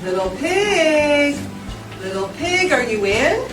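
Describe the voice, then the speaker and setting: A woman playing a polite male storybook wolf in a stage reading, with slow, drawn-out calls. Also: sits high, very animated, pitched above the performer's own voice, exaggerated voice. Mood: calling out politely. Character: male wolf claiming innocence, politely calling on neighbors. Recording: echoey room, far mic, music behind.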